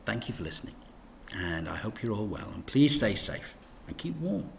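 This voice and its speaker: A man reading a children's story aloud in an expressive, teacherly narration. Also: lowish voice, normal speed, gravelly, a little hiss or hum.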